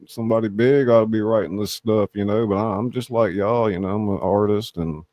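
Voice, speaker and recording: A man's voice: on the low side, steady tone, fairly quick, a little mellow, no echo, clean studio sound. A man hosting a show, chatting casually in a folksy, laid-back, appreciative way. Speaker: show host and writer. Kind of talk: casual chat.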